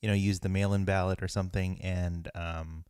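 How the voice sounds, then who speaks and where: A man's voice: low-pitched, little pitch movement, moderate pace, a little mellow, clean tone, no echo, clean studio sound. A man co-hosting a podcast in casual chat, rambling with lots of fillers and restarts. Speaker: podcast co-host.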